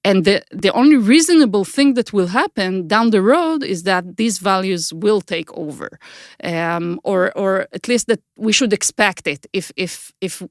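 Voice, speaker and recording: A woman's voice: medium pitch, lively intonation, unhurried, no room sound, studio quality. A middle-aged woman with an Israeli accent, a native Hebrew speaker, answering interview questions thoughtfully and searching for her words. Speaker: guest, author.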